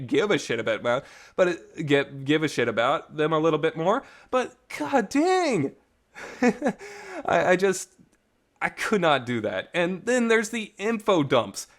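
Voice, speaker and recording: A man with a slightly high voice talks off the cuff like a podcast reviewer. His pitch swings widely as he makes his points emphatically, in everyday language with mild swearing. The recording is a reverberant, makeshift home setup. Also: fairly bright tone. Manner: colloquial, mildly profane, emphatic. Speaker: host of a visual novel review channel. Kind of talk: casual unscripted podcast-style review rant.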